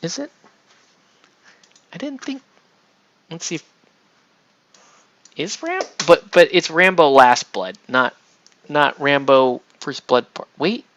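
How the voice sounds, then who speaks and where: A man chatting casually on a livestream while he paints miniatures, rambling and excitable, often breaking off mid-sentence. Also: on the high side, slow, pauses often, dull audio. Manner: rambling, excitable, self-interrupting with laughs.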